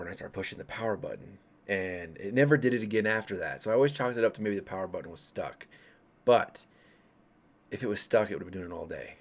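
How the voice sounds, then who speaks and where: A man in his late thirties from Colorado casually tells his own ghost stories to viewers, rambling with frequent restarts and asides. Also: low voice. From Colorado, USA. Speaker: vlogger. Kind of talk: casual ghost story telling.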